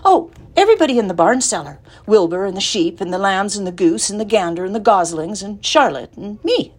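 A woman's voice: mid-range voice, sing-song, a little fast, slightly rough. A woman voicing an earnest, chatty young farm girl in a storybook, innocent and matter-of-fact.

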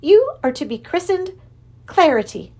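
A woman voicing an exuberant, lovesick male creature king with antlers, who lisps and gushes in a high voice. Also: measured pace, full character voice. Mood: gushing. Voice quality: lisping. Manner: high, gushing, excitable. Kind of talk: storybook reading.

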